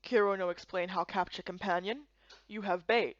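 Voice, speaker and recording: A woman voicing a gruff but kindly male giant who talks in halting, broken, simple-minded phrases. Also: medium pitch, fairly even intonation, no echo, lo-fi sound, pitched below the performer's own voice, natural voice. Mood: softening. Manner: broken, halting caveman-like speech.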